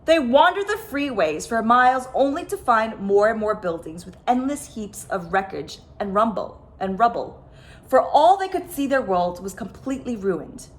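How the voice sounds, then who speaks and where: Female storyteller for children's read-aloud: dramatic, highly varied intonation, slightly high voice. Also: sing-song, moderate pace. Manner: dramatic, stressed sound words and phrases. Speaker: storyteller, host of a story time. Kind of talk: expressive children's picture book read-aloud.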